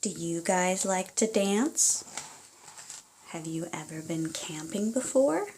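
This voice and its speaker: A woman reading aloud for children and parents, in an expressive, deliberate storytelling manner. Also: fairly low pitch, slight background noise. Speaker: storybook reader.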